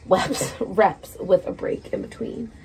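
Woman in her thirties, gravelly vocal fry, rambling vlog chat, casual swearing, background noise. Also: medium pitch, slow, a little mellow, poor audio. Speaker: vlogger. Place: small indoor room at home.

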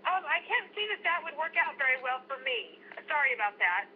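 High-voiced woman on a tinny phone. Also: sing-song, a little fast. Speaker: private seller taking a phone call. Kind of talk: casual phone conversation. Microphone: telephone heard over speaker. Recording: tinny phone line with faint noise.

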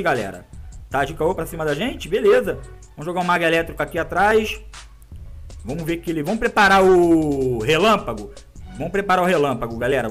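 The voice is high for a man: a gamer in his thirties commentates his match with excitable, slangy banter. He sounds stuffed up from a cold and sniffles now and then. Faint game music plays underneath in a small room. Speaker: gaming YouTuber. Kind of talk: live gameplay commentary. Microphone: webcam framing, headphones around neck.

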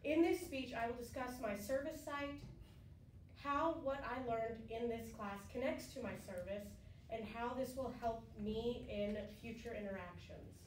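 A young woman gives a prepared class speech, hesitant with many ums, her voice a little low from being sick. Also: a little slow. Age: young adult. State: slightly sick, voice lower than usual. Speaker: student giving a class speech. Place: classroom with conference tables, indoors. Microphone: far camera at the back of the room. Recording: roomy, distant camera audio with background hiss.

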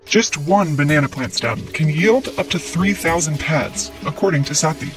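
Guy narrating a factory clip, kinda higher voice, far off with music loud under. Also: moderate pace. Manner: even, explanatory. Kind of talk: scripted documentary narration. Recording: distant voice with clear music bed.

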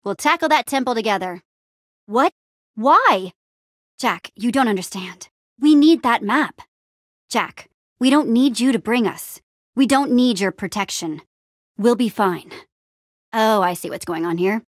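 A plucky young adventure-game heroine with a fairly high, very lively voice. She talks in an eager, casual, youthful way with a wry edge, and the sound is close and dry. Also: unhurried, long pauses, no echo. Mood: insistent. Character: plucky, upbeat young female adventurer hero. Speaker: video game voice actor. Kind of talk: animated adventure game dialogue.

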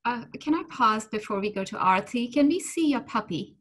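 A woman moderating a panel on a video call from a room at home, warm, giggly and enthusiastic. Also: on the high side, lively intonation, moderate pace. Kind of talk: hosting a panel.

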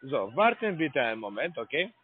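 A man on a phone call, speaking in halting, broken German full of fillers and restarts. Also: mid-range voice, very animated, a little hiss or hum. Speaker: phone scammer caller. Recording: tinny phone line.

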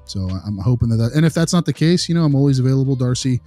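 A man's voice: mid-range voice, animated, racing, dark tone, soft background music, natural voice. A man playing an easygoing behavior consultant, talking informally and reassuringly in a role-played meeting with a parent.

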